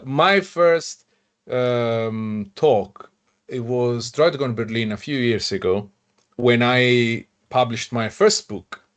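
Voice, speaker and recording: A man, a podcast host, talking casually in a halting way with many restarts and fillers. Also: medium pitch, clear, resonant tone. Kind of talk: casual panel chat.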